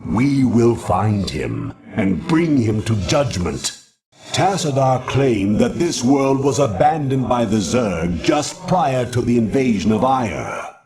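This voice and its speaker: A man's voice: medium pitch, sing-song, takes it slowly, darkish tone. A man voicing a stern, haughty alien judicator in a video game, speaking in formal, pompous declamation. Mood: grave, wary.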